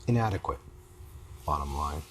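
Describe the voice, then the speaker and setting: Male counselling client, deep and unhurried, halting short answers, light background noise. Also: animated. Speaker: client in couples therapy. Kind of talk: halting personal talk in counselling.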